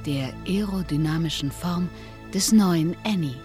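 An actress in a radio play as a car advert presenter, speaking in measured, declarative phrases with a husky voice. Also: female voice, on the low side, warm tone, soft background music, lightly acted. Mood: measured, selling. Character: smooth-selling woman presenter of a car advertisement.

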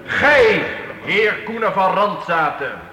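A man's voice: high voice, lively intonation, slow, bright, forceful tone, heavily acted. A man playing a stern medieval count in a radio play, solemn, formal and authoritative in manner. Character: stern, commanding medieval count passing judgment. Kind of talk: Dutch radio play acting. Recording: reverberant hall, old radio play.

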